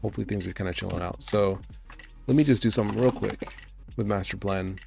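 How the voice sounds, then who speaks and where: A young man, raspy from just getting over being sick, chats casually and thinks aloud while working on his game on a live stream. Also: fairly low pitch, fast, darkish tone. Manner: laid-back, rambling, thinking aloud. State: raspy voice, just recovering from illness. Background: faint background music and keyboard typing.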